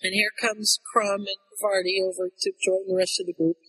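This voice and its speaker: A man co-hosting a casual book discussion, retelling the story in an animated way. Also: high-pitched, moderate pace, husky. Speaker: podcast co-host. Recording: long echoey reverb.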